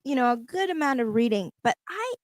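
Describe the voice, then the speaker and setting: A woman's voice: high voice, very animated, fairly quick, no echo, studio quality. A woman chatting on a radio panel, telling stories in an emphatic, talkative way. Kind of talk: casual radio chat.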